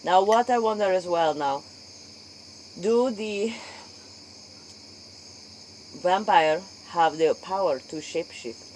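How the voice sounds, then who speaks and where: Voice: mid-range voice, expressive, slow, pauses often, darkish tone. An interviewer in an improvised mock interview, echoing and paraphrasing what the guest says. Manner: echoes and paraphrases the guest's answers. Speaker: late-night interviewer. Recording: faint noise, crickets outdoors.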